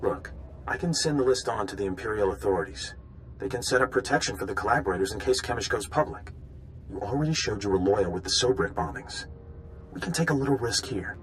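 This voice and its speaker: An actor playing an anxious, conscientious undercover informant in a video game, hesitant and deferential. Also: male voice, lowish voice, expressive, fast talker, a little hiss or hum, lightly acted, poor audio. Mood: worried.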